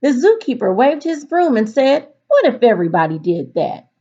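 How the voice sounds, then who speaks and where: Animated woman teacher with a slightly husky voice. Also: high-pitched, normal speed. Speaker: teacher reading aloud to students. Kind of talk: picture-book read-aloud for a virtual class. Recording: dull, lo-fi recording.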